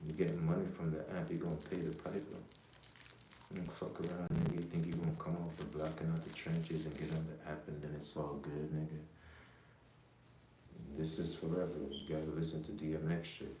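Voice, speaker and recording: A man's voice: very deep voice, little pitch movement, fairly quick, dark tone, roomy sound, a little hiss or hum, low-quality recording. A man from Jamaica who grew up in the US, hosting a rambling, profane livestream full of street talk and constant "you know what I'm saying.". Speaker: livestream host. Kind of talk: casual livestream monologue.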